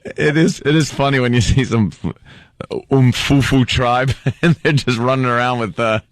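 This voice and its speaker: A man's voice: medium pitch, normal speed, no room sound, studio quality. A man co-hosting a radio show in casual, sarcastic, wry comedic banter. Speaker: radio co-host. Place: radio studio.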